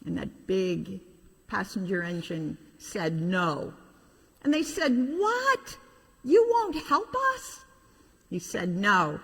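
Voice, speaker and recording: A middle-aged woman, a pastor telling a children's story in an animated way with informal asides. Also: on the low side, slightly rough. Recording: reverberant hall.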